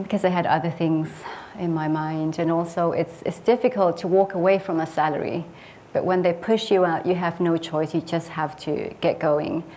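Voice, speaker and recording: A woman from Sweden speaks in a fairly low, steady voice, sharing her investing journey with an audience. She is earnest and reflective and often pauses on small hesitant fillers. A faint hum of noise sits underneath her. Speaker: property investor giving a case study talk. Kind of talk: personal case study presentation.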